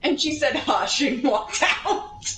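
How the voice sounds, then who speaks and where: A woman casually telling a rambling anecdote in an animated way, drifting into tangents and acting out what people said. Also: sits high, takes it slowly. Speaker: storyteller. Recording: roomy, distant mic, steady background noise.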